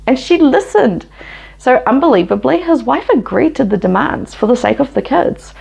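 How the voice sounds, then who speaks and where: Chatty New Zealand woman with some fry. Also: high voice, lively intonation, normal speed, a little mellow. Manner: opinionated, chatty, laughs often. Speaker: history channel host. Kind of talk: casual history storytelling.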